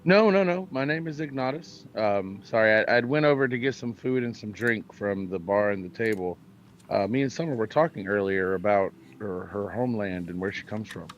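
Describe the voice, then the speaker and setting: A man's voice: fairly low pitch, normal speed, muffled recording, slight background noise, close to an everyday voice. A man playing a friendly, curious adventurer in a tabletop fantasy game, chatty and apologetic, often repeating his words. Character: friendly, polite, curious adventurer with bugbear lineage.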